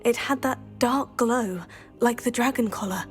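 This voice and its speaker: Woman as polite keen student girl in a video game, earnest, slightly high voice, soft music behind. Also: unhurried, lightly acted. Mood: urgent. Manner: earnest, respectful. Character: polite, eager teenage female magic student.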